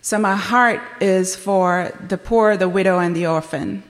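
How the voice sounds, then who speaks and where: A woman's voice: mid-range voice, unhurried. Earnest Sri Lankan woman in a hall. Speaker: ministry leader speaking at a church event. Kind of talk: earnest appeal speech.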